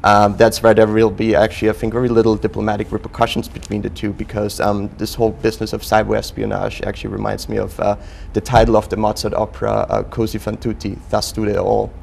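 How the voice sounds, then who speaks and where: An Austrian-accented man in his thirties, a native German speaker, talks as a panel analyst. His low voice is gravelly and fairly even, and he picks his words in a measured, halting way. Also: slight background noise. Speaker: panel guest, policy analyst. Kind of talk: panel discussion. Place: television studio.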